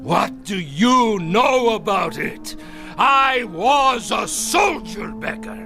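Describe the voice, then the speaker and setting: Gravelly, indignant disgraced war officer. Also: male voice, high-pitched, expressive, takes it slowly, over music, heavily acted. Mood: defensive, indignant. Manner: indignant, imperious, self-justifying. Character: arrogant, evasive former Nazi camp captain, defensive and self-justifying. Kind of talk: dramatic radio acting.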